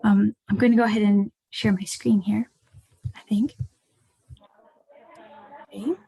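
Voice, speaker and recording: A woman's voice: medium pitch, fairly even intonation, unhurried, gravelly, warm tone, breathy edge, no room sound. American woman presenting, close dry sound. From rural Ohio, United States. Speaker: startup co-founder giving a guest talk. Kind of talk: informal webinar presentation. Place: indoors, online video call. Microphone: video call.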